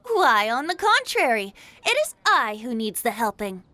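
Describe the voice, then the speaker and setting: A woman's voice: fairly high pitch, very animated, normal speed, sharp tone, no room sound. A woman playing a playful, flowery young male bard. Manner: flowery, theatrical, teasing. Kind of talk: video game dialogue.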